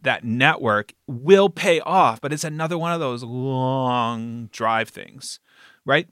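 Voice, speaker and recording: A man interviewing in a casual, animated way, thinking aloud and checking in with his listener as he goes. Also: mid-range voice, slow, smooth, no room sound, studio quality. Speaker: podcast interviewer and show founder.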